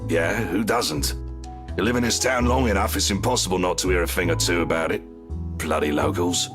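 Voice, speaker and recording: A man acting a gruff, deep-voiced barkeeper. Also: brisk, natural voice. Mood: gruff banter. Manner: blunt, wry, slangy, calls listeners kiddo. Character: gruff, teasing older male pub barkeeper. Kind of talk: video game voice acting. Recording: voice over background music.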